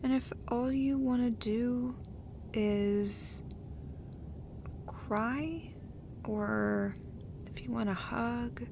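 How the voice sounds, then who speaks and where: Woman acting soft, slow-talking, caring girlfriend. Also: on the high side, gravelly, noisy background, full character voice. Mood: gentle, reassuring. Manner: soft-spoken, often dropping to a whisper, hesitant. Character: caring, gentle, casually sweary girlfriend comforting her partner. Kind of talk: intimate roleplay audio acting.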